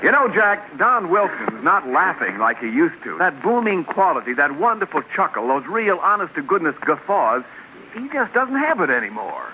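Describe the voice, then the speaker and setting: A man's voice: fairly high pitch, big pitch swings, moderate pace, bright, forceful tone. A man in a 1940s radio comedy, an announcer who talks in an eager, fawning way. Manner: eager, fawning, with big laughs. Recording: noisy vintage radio broadcast.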